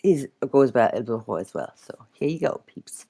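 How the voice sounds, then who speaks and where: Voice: medium pitch, lively intonation, normal speed, creaky, dark tone, breathy edge. A co-host speaking casually to the listeners, making informal announcements.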